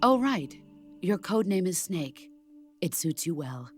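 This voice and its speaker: Veteran army mentor lady, lowish calm voice, measured, stern but supportive, game radio talk, faint music. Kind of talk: video game voice acting.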